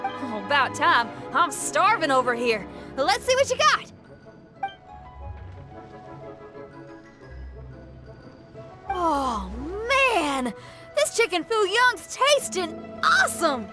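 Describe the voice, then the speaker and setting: A woman's voice: very high voice, very animated, takes it slowly, music in the background, full character voice. A woman voicing a spirited, friendly young female musician in a video game, with a folksy, casual way of talking. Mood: eager. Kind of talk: animated video game character acting.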